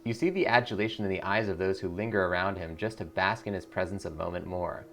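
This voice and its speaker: A man's voice: sits low, fast. A man playing through a video game as a streamer, reading its narration aloud and commenting. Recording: music behind the voice.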